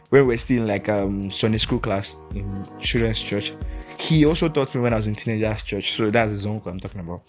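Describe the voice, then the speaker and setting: A man's voice: medium pitch, a little mellow. A young man in his early twenties hosting a casual solo podcast, rambling, correcting himself and laughing often. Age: young adult. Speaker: podcast host.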